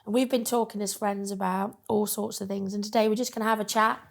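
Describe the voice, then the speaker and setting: A woman with a croaky voice interviewing a friend in a small studio, friendly and rambling with many ums. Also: mid-range voice, steady tone, fast. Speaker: interviewer, podcaster. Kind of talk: casual interview chat.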